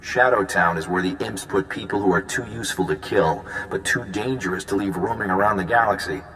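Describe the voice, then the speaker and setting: An actor playing an easygoing, smooth-talking, flirtatious male intelligence agent in a video game, casual and breezy. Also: sits low, brisk, fairly bright tone. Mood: upbeat. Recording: long reverb, faint background noise.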